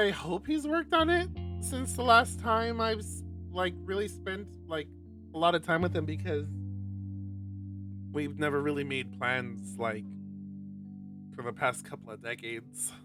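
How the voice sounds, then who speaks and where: A man streaming a visual novel, reading it aloud and commenting casually and expressively, thinking out loud and swearing. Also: fairly high pitch, animated, unhurried. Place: live game stream. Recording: distant mic, music over voice.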